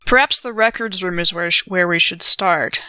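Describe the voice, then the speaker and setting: A woman's voice: on the low side, moderate pace, sharp tone, smooth, no room sound. A woman playing in a tabletop role-playing podcast, speaking in character with formal, careful wording. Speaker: player in an actual play podcast.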